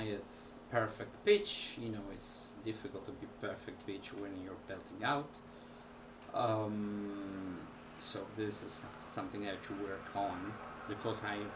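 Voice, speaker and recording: Slow-talking man on a distant camera mic. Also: fairly low pitch. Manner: halting, slow, with many pauses and fillers. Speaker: musician vlogger. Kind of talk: casual talk to camera. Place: small room at home. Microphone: built-in camera mic. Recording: distant home camera audio with background noise.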